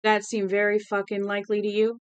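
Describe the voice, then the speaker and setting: A crude, volatile little Southern drunk from a novel, played by a woman reader. He rants and threatens in profane bursts, at her middle pitch, recorded very dry and without room sound. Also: monotone, brisk, clear, resonant tone, no room sound, lo-fi sound, lightly acted. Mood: menacing outrage. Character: small, drunk, menacing young Southern man, crude and volatile.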